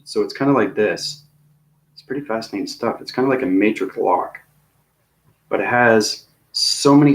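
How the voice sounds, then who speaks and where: Rambling low-voiced man talking space. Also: fairly bright tone. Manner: rambling, repetitive, laughs at himself. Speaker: video host. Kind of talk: rambling cosmic monologue to viewers.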